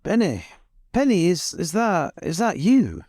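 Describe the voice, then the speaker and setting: A man voicing a gruff, folksy old man from the west in a tabletop game. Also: sits high, sing-song, unhurried, darkish tone, no room sound, studio quality, heavily acted. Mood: hopeful, querying.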